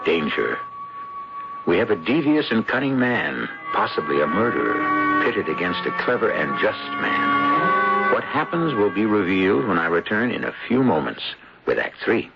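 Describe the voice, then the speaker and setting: A man hosting a radio mystery, reading scripted narration in a dramatic, ominous, deliberate way. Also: on the low side, very animated, vocal fry. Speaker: host and narrator. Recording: dull radio broadcast with loud music. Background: loud music.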